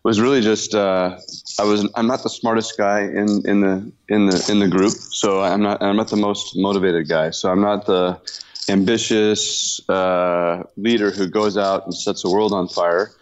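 A low-voiced man in his fifties talks haltingly, restarting sentences and filling pauses. He speaks plainly and modestly about himself. The audio is dull and muffled, like a remote call. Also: steady tone. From Washington state, US. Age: 50s. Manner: halting, many fillers and restarts, self-deprecating. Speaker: business owner, guest. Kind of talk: casual interview talk. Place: his workshop.

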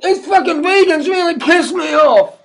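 A man with an Australian accent playing a brash, defensive meathead bogan in a sweary, shouting comedy rant. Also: very high voice, normal speed. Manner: shouting, swearing ranting monologue. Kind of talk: comedy character monologue.

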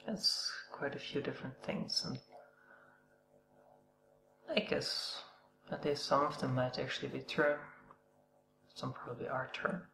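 A woman in her thirties giving a personal testimony on camera, hesitant and soft-spoken, pausing and sighing between thoughts. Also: very deep voice, flat delivery, creaky. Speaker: vlogger. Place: indoor room, seated on a couch before a dark backdrop. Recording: echoey room with humming noise.

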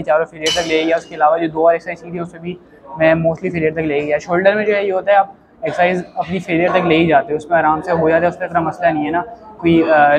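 A man's voice: fairly high pitch, muffled recording. A young man talking casually to the camera between sets, in a gym. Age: young adult. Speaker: gym vlogger. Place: busy indoor gym. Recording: gym crowd noise behind.

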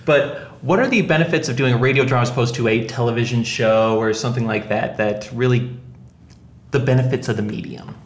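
Medium-pitched man hosting a casual discussion. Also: a little fast. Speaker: podcast host.

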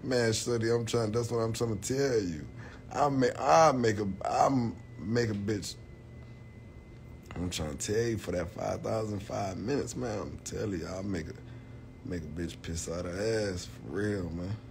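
Brief: young man in his 20s, low-voiced, crude jokester chatting to viewers from bed, phone mic close, faint room hum behind. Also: a little slow, gravelly. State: tired, late at night.

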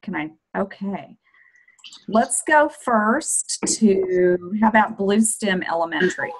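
A woman's voice: mid-range voice, expressive, takes it slowly, husky, rough recording. A woman in her forties with an American accent, casually hosting a virtual tour on a video call and putting questions to guests. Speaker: host and moderator of a virtual farm tour. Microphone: computer mic on a video call.

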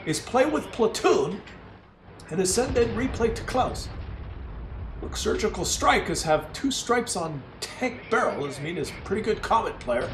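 Very high-pitched foreign-accented game commentator. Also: male voice, sing-song, unhurried, soft background music. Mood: steady commentary. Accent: put-on foreign. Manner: broken grammar, exclaiming and gloating. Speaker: host. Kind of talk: comic commentary on a game replay. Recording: roomy, distant mic with faint noise.

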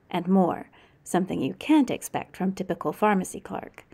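A woman tour guide giving measured, informative walking tour narration with a lightly wry touch. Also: medium pitch, gravelly, a little mellow.

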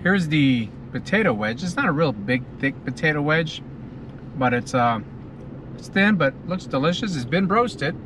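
Higher-voiced American man, enthusiastic food vlogger. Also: animated, moderate pace. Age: middle-aged. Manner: talking between bites, savoring, enthusiastic. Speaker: food reviewer. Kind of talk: casual food review to camera. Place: inside a parked car. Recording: car cabin with clear ambient noise.

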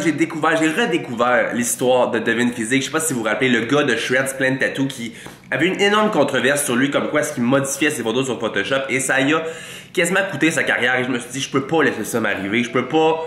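A man's voice: mid-range voice, reverberant, slight background noise. A man in his twenties with a Quebec French accent, a fitness vlogger with a cold, talking in a mock-serious, ironic confessional tone at a desk microphone. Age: 20s. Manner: deadpan ironic, mock self-praise. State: has a cold. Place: bedroom with green-lit wall. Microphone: large desk microphone in front.

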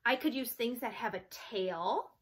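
A woman's voice: a little high, moderate pace, breathy edge. A woman in her thirties reading expressively to young children, with chatty, questioning asides in a sing-song manner. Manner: animated, sing-song, asking the listeners questions. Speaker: children's read-along teacher. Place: small child's bedroom, indoors.

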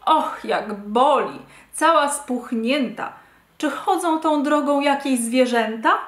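A woman's voice: high-pitched, slow, echoey room, pitched above the performer's own voice. A woman voicing a naughty little male hare in a children's story, whining and self-pitying. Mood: pained.

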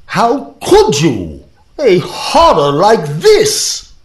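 Radio-play professor, male actor: outraged and aghast, high voice, very slow drawn-out delivery. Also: wildly swooping pitch, heavily acted. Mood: horrified, angry. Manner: aghast, drawn-out theatrical exclaiming. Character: angry, horrified, reproachful male professor.

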